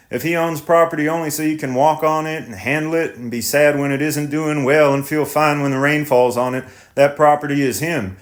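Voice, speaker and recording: A man's voice: medium pitch, voice pushed up, natural voice. Stubborn tenant farmer, pondering, plain rural talk; male narrator voicing him. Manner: slow, pondering, plain-spoken.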